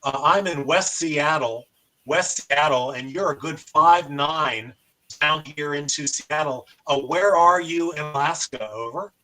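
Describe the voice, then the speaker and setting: A man's voice: fairly high pitch, measured pace, bright, forceful tone, a little hiss or hum, poor audio. An American man hosting a casual amateur radio demonstration and chatting as the operator.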